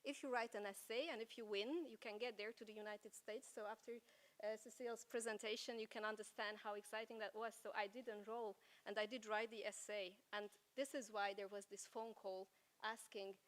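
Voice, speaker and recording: A woman's voice: medium pitch, fairly even intonation, brisk, low-quality recording. A Hungarian-accented woman in her forties giving a keynote talk at a conference, telling her story with rhetorical questions. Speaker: keynote speaker. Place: conference hall.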